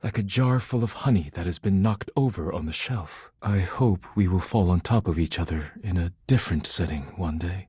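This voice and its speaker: A solemn, earnest male video game character who loves poetry. His voice is low and heavily husky, recorded close and dry. He speaks formally and with measured pride, choosing each phrase with care. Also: darkish tone, no room sound. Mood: moved, tender. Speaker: voice actor in a dating simulator game.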